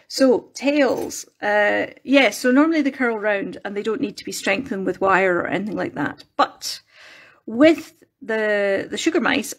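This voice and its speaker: A Scottish woman hosting a casual crafting live stream on her phone, rambling and self-deprecating, her voice a little croaky from singing the night before. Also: fairly high pitch. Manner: chatty, rambling, self-deprecating, many restarts.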